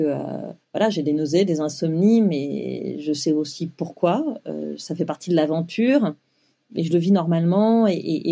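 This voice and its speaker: A woman's voice: fairly low pitch, dark tone, husky. A woman in her forties telling her own story as an interview guest, with many fillers and restarts. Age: 40s. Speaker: guest, web publisher.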